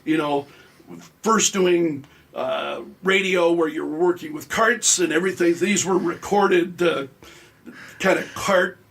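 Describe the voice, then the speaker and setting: A man's voice: on the high side, slow, gravelly, a bit bright. A middle-aged man, a radio talk show host, speaking conversationally as an interview guest and explaining his work in a self-deprecating way.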